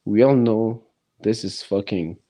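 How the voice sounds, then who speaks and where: Slow-talking guy, english not his first language, keeps restarting, mellow tone. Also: lowish voice. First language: neither English nor French. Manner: halting, many restarts and fillers. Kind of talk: casual podcast chat.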